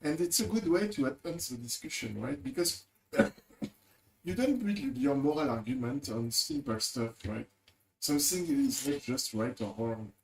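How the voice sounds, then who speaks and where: A man's voice: medium pitch, expressive, normal speed, creaky. A man streaming and commenting on a debate clip, blunt and exasperated, swearing freely. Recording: roomy echo.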